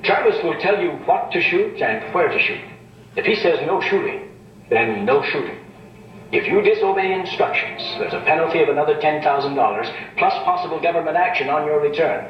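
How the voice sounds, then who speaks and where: A man acting in a radio drama as a smooth, officious, formally polite safari company salesman. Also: fairly high pitch, lively intonation, brisk, distant mic, close to an everyday voice. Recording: roomy, muffled playback from a radio set.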